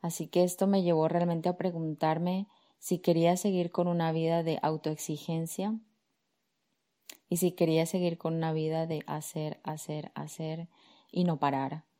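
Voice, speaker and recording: A woman, speaking as a career coach on her podcast. Her voice sits low and warm, and her intonation stays quite even. She talks calmly and reflectively, soft fillers as she gathers her thoughts. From Colombia. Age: 30s. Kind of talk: personal storytelling monologue.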